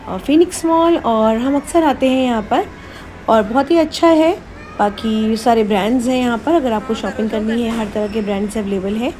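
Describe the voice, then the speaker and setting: A woman's voice: on the high side, a little mellow. A woman narrating a family vlog, mixing English phrases into her speech. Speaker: family vlogger. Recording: background noise behind voice.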